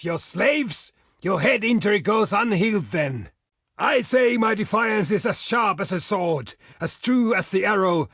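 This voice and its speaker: Barbarian chieftain for a strategy game: proud, boisterous, husky and gravelly, declaiming slowly and bluntly. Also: male voice, high voice, fairly bright tone, dry recording, studio quality, heavily acted. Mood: scornful, defiant. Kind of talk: video game character voice lines.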